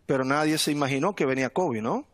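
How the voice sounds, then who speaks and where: A middle-aged man from Cuba giving a webinar presentation over a headset from home, relaxed, often repeating words and adding "¿no?". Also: fairly high pitch, fast, no echo. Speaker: guest speaker, sales manager. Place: home room indoors. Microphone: headset on video call.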